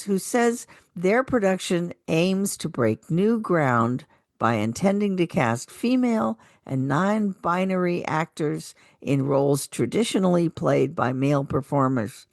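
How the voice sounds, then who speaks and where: Low-voiced female radio co-host, dry sound. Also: no echo. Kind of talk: scripted community calendar announcements.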